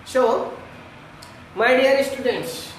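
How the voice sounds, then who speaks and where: A man teaches in a strikingly high voice, recorded in a heavily echoing room away from the microphone. He explains step by step like a classroom teacher, repeating himself deliberately. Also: slight background noise. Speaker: English grammar teacher. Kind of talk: classroom lesson addressed to students. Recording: echoey room, distant microphone.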